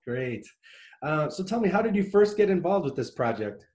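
Mellow-voiced male podcast host. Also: fairly high pitch, big pitch swings, normal speed. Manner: upbeat, encouraging, scripted intro. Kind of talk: interview hosting, read introduction.

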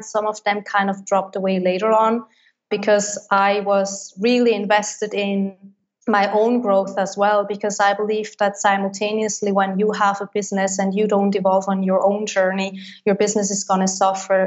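Interview guest: woman, steady flat delivery, muffled lo-fi capture. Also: medium pitch. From Switzerland. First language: Swiss German. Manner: fluent, many likes and restarts. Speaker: business coach, interview guest. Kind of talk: casual interview answers.

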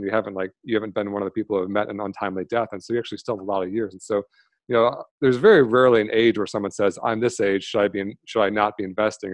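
A fast-talking American man with a low-set voice, chatting loosely on a podcast and tripping over fillers and restarts. The sound is dry and close, with no room in it. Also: no room sound. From Michigan, USA. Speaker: podcast guest. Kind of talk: casual interview talk.

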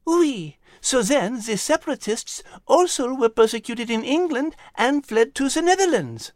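A woman's voice: fairly high pitch, big pitch swings, unhurried, no echo, clean studio sound. A woman voicing a thoughtful, elegant female animal with a French accent, explaining history in an audiobook story.

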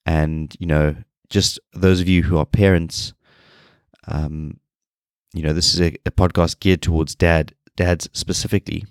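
A man with a very deep voice, stuffed up and nasal from a cold, talking in a flat, low-energy way. He rambles and restarts his sentences as he hosts his own podcast. The recording is dry and close, with no room sound. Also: measured pace, no room sound. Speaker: podcast host. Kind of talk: solo podcast talk.

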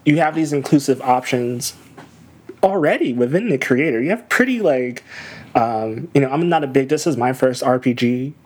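A man's voice: medium pitch, lively intonation, normal speed, darkish tone, husky. A man co-hosting a casual podcast chat about video games, easygoing and a bit hesitant. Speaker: podcast co-host.

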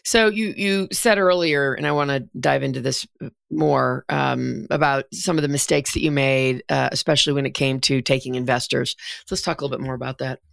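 Podcast host, kinda gravelly voice, dry close sound, lots of mm-hmms. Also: medium pitch, steady tone, brisk, a bit bright, no room sound. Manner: conversational, frequent backchannel affirmations. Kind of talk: interview conversation.

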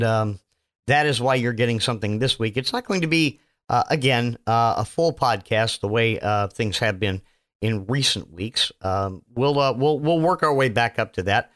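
A man hosting his own podcast, his voice still hoarse from illness, rambling casually with lots of fillers and self-mocking asides. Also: mid-range voice, a bit bright. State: hoarse, recovering voice. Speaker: podcast host. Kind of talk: casual solo podcast monologue.

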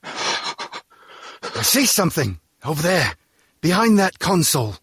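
Gravelly brutish alien henchman. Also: a little high, very animated, slow pace, fairly bright tone, dry recording, studio quality, exaggerated voice. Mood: eager, menacing. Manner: barking, aggressive. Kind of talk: audio drama acting.

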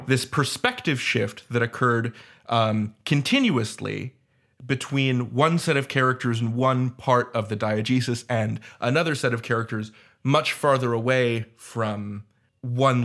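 A man's voice: medium pitch, a bit bright. A man speaking in an academic panel discussion, articulate and wordy with scholarly phrasing. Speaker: roundtable panelist, audio fiction creator.

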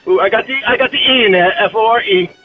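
A man's voice: high voice, fast, faint music behind. A man calling in to a radio show, friendly and explaining patiently over the phone. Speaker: radio caller. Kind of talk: phone-in call. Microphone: telephone. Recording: tinny phone line.

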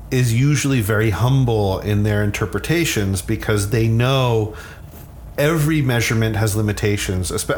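Male expert interviewee, medium pitch, careful conversational explanations, noisy background. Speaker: sleep scientist, interview guest.

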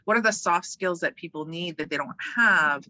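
A woman from Hawaii speaking casually and emphatically as an interview guest, chatty and animated. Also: on the low side, moderate pace, no echo, muffled recording. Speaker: interview guest, organizational development worker and voiceover artist.

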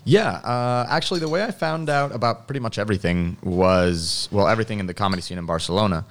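A man's voice: fairly low pitch, fast. A man from Mexico in his thirties, a guest on a comedy podcast, chatting casually with self-deprecating humor and frequent laughs. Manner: self-deprecating, laughs often, rambling restarts.

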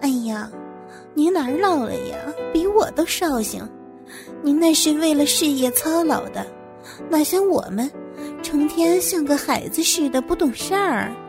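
An eager young adult subordinate in an audio story, voiced by a woman, high-pitched and fawning. The delivery is deferential, solicitous and ingratiating. Music is clearly audible underneath. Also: measured pace. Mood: eager, flattering.

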